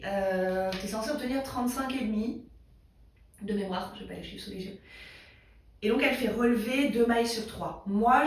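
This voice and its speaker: A woman hosting a knitting video in a casual, rambling chat, with a slightly congested throat and lots of fillers. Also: medium pitch. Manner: rambling, many fillers and restarts. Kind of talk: casual chat thinking aloud. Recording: echoey room, mic far away.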